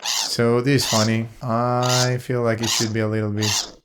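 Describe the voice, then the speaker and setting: A man's voice: medium pitch, little pitch movement, unhurried, very noisy. A man talking casually through a sound design tutorial as he works, playful and informal, thinking aloud. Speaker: sound designer demonstrating a voice plugin.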